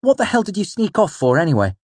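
A man's voice: on the high side, animated, normal speed, a little mellow, dry recording, pitched above the performer's own voice. A man voicing an indignant, theatrical young male fae creature, fussy and haughty, for a storybook. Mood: demanding.

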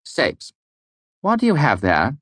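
A commanding mayor with a stern, barking delivery, as a male narrator puts him on. His lines sit well above the narrator's low natural voice and push out louder. The recording is close and dry. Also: huge pitch swings, slow pace, no echo, full character voice.

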